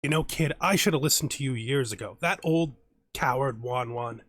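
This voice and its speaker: A man's voice: mid-range voice, moderate pace, a bit bright, no echo, lightly acted. A man reading as a bitter old bandit. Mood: resentful.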